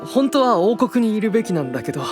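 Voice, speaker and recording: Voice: medium pitch, very animated, quick pace, close to an everyday voice. Actor as a sincere boyish hero knight, music behind. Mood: flustered. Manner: hesitant, sincere. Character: earnest, modest young hero knight, boyish. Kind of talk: Japanese anime-style voice drama acting.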